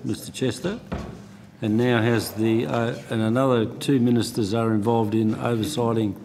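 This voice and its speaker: An Australian man questioning officials as a senator in a hearing, with a blunt, halting, sardonic manner. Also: medium pitch, fairly even intonation, a little mellow, slight background noise. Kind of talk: parliamentary questioning. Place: committee hearing room.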